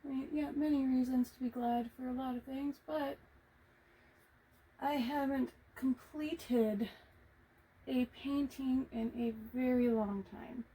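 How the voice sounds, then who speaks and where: A woman vlogger talking casually to herself as she paints, reflective, halting and encouraging, with a naturally quiet voice that she tries to project. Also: sits high, steady tone, husky, rough recording. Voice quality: normally quiet voice, making an effort to project. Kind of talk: casual self-talk while painting. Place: hot room indoors.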